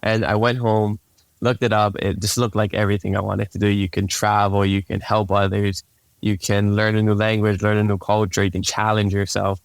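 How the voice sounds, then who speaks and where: Young hawaiian guy, hawaiian first language, low even voice, smooth, dry close sound, laid back podcast guest. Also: normal speed, no room sound. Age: young adult. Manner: laid-back, relaxed, repeats words when starting sentences. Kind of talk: casual interview storytelling.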